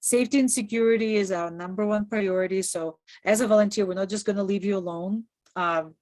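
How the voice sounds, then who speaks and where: A woman from Kazakhstan whose first language is Russian speaks in an upbeat, conversational way, presenting an information session. Her voice sits at an ordinary middle pitch. The video-call audio is dry, with no room around it. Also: fairly quick, darkish tone, no room sound. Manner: conversational, upbeat, laughs often. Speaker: Peace Corps presenter and returned volunteer.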